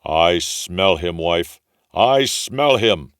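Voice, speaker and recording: A man acts out a giant storybook ogre, gruff and easily roused. He bellows in long, swooping, gravelly phrases, hungry and bullying. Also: low voice, expressive, no echo, clean studio sound, exaggerated voice. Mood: roaring, menacing. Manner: loud, drawn-out bellowing.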